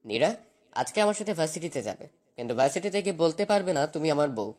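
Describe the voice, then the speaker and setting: A man's voice: fairly high pitch, big pitch swings, fast, a little mellow. A man playing a possessive, domineering young husband in a dramatized romantic story, speaking in a commanding, insistent way.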